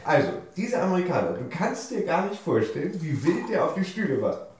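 An actor playing an evasive, sweet-talking young husband in a radio drama, with a smooth, cajoling manner and speech. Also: male voice, a little high, sing-song, fairly quick, a little mellow, recorded from a distance. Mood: excited, affectionate. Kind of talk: German radio drama acting. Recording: echoey room, off mic, faint noise.

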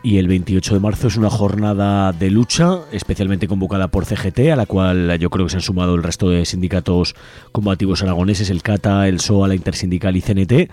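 A man's voice: sits low, steady tone, brisk, warm tone. A man with an accent from Spain, hosting a community radio show and making informal, conversational announcements. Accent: Spanish from Spain. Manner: conversational, unscripted. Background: music bed.

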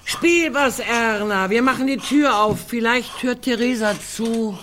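An actress in a radio play voicing a morbid, sardonic woman who muses bluntly among friends, sometimes dropping to hushed tones. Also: a little high, measured pace, slight background noise. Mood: restless, urging.

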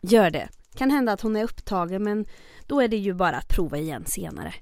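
An actress playing a mayor's aide in the resistance, businesslike but hesitant and scheming. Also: female voice, medium pitch, lively intonation, darkish tone, lightly acted. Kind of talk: audio drama acting.

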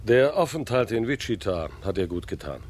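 An actor playing a middle-aged, respected farm owner, calm and courteous, in a naturalistic radio drama. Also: male voice, lowish voice, moderate pace. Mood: calm, friendly. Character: respected, kindly, self-assured Kansas farm owner, a family man.

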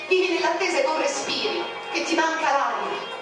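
Woman, high voice, declamatory poetry reader, far off in a booming room. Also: lively intonation, normal speed. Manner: declamatory, with sharp swings in pitch. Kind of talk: dramatic poetry recitation. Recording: very echoey, distant, with music. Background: music.

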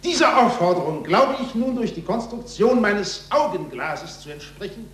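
An actor playing a pompous, ceremonious university official declaiming in a 1940s radio drama. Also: male voice, high-pitched, animated, normal speed, a bit bright, slight background noise. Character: pompous male university official addressing Venetian nobles. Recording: echoey 1940s radio recording.

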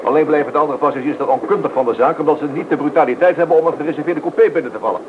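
An actor playing a curt, stern police inspector in a Dutch radio play, brusque and authoritative. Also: male voice, on the high side, very fast, close to an everyday voice. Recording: muffled, noisy old radio recording.

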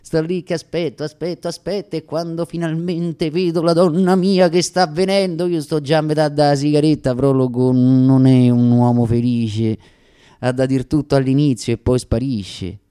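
A man's voice: a little high, steady tone, warm tone, smooth, close to an everyday voice. Man playing a theatrical Roman variety-show comic. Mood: comic grievance. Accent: Roman Italian. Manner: declamatory, with drawn-out pauses and sudden hurried bursts. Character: self-mocking, plaintive personified prologue of a variety show. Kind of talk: theatrical comic stage monologue for radio theatre.

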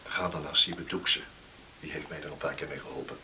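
An actor in a Dutch radio play playing a kindly, practical village doctor with a measured, reassuring manner. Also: male voice, rumbling low, fairly even intonation, sharp tone, full character voice. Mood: calm, reassuring. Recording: noisy old radio play recording.

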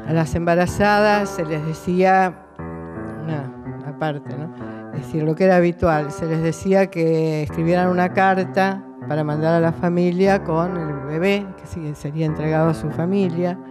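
Woman, explanatory testimony, clear guitar bed underneath. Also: fairly low pitch, fairly even intonation, normal speed. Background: plucked guitar music.

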